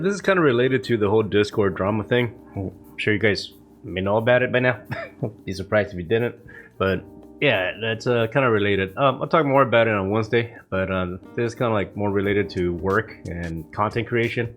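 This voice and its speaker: A fairly low-voiced man who is coming down with a cold, chatting straight into his camera. He rambles casually, cussing often and padding his sentences with plenty of fillers. Faint music plays behind him. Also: normal speed. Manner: rambling, profane, many fillers. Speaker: vlog host. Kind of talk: casual morning vlog talk. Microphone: camera mic.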